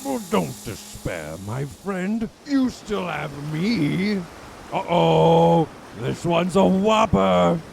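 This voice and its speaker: A man playing a wry, chatty spy's sidekick with a gravelly voice in a comic audio drama. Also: high-pitched, very animated, very slow, darkish tone, a little hiss or hum, heavily acted. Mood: wry cheer.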